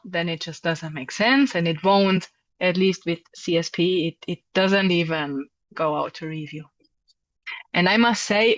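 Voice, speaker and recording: A woman's voice: lowish voice, fairly bright tone, dry recording. A woman, a journal editor, answering interview questions in a thoughtful, hesitant way with many fillers. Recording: dull, dry lo-fi audio.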